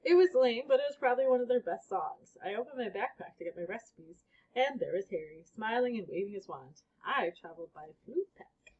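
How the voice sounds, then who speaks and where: A woman with a husky voice reading a children's book aloud as a storybook reader. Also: on the high side, lively intonation, fairly quick, a little mellow. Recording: dull, lo-fi recording.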